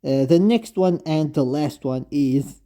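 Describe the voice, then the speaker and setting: A man who is not a native English speaker gives unscripted, gushing video game fan commentary, halting and often repeating himself. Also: fairly high pitch, dark tone. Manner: effusive, halting, repetitive. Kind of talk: unscripted fan commentary, reading lines aloud.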